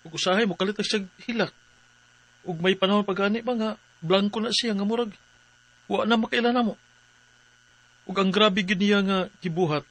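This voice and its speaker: An actor with a Cebuano Filipino accent playing a troubled, devoted forty-year-old farmer in a radio drama. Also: male voice, high voice, fairly quick, pauses often, fairly bright tone, poor audio. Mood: worried. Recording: faint music bed.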